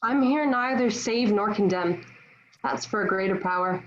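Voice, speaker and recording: A woman's voice: medium pitch, normal speed, lightly acted. A woman playing a serene, forgiving, saintly young warrior with composed, formal delivery in a play read over an online call. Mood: serene exalted. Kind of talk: acting in a virtual table read. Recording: dull lo-fi online call audio.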